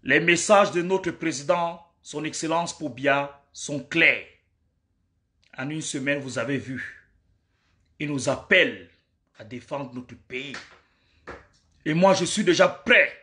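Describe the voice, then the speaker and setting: A man's voice: on the high side, takes it slowly, long pauses, bright, forceful tone. A man from Cameroon giving a fervent live-stream address, exhorting his viewers with repeated rallying calls.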